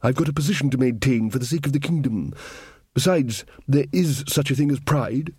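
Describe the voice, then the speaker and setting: A man's voice: mid-range voice, fairly quick, a little mellow, husky, no echo, clean studio sound. A man voicing a nervous, earnest king who speaks hesitantly and properly, in a storybook reading. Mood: nervous, protesting. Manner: hesitant, slightly stammering.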